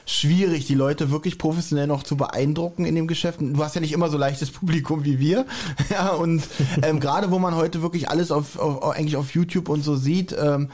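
Male co-host, slightly high voice, rapid casual talk. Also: fairly even intonation, a little mellow. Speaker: podcast host, interviewer. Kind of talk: casual chat.

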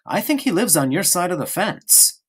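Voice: mid-range voice, very animated, normal speed, husky, voice pushed up. A narrator voicing a stubborn, chatty young boy in an audiobook, speaking eagerly and insistently. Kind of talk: audiobook character reading.

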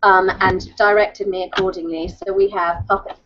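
A woman's voice: medium pitch, level tone, moderate pace, noisy background. Chatty woman on a muffled video call. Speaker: craft blogger. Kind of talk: casual craft show-and-tell. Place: book-lined room at home. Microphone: webcam video call. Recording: dull lo-fi video call audio.

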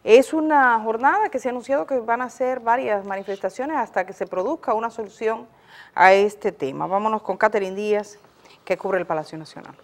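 A woman's voice: fairly high pitch, fairly quick. A woman with a Dominican accent presenting news commentary conversationally, explaining things directly to viewers in a studio. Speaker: news program host.

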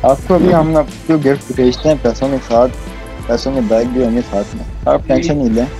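A man improvising roleplay as a wealthy, generous businessman host, speaking politely and haltingly with repeated phrases. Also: medium pitch, mellow, faint music behind. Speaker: roleplay player. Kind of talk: improvised in-character roleplay dialogue.

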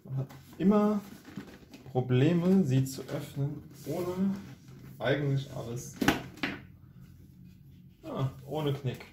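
A man's voice: on the high side, expressive, slow pace, pauses often, background noise. A man hosting an unboxing with casual hands-on commentary, recorded indoors at a table. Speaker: unboxing video host.

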